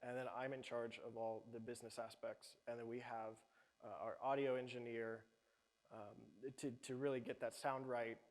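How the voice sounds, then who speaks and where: A man's voice: mid-range voice, flat delivery, moderate pace. A man, the business lead of a startup, explaining his team's product to an audience. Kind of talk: audience Q&A pitch answer. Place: event hall. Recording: long hall reverb.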